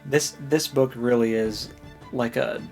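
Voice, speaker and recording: A podcast co-host chatting casually about books, recapping in an animated way with relaxed slang. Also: medium pitch, unhurried, vocal fry, faint music behind. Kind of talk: casual book discussion chat.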